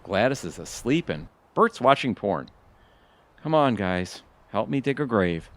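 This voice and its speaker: A man acting an excitable, melodramatic husband in an audio theatre comedy, with dramatic, exclamatory delivery. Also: lowish voice, unhurried, slight background noise. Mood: sad, determined. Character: excitable, melodramatic husband grieving his pet pony.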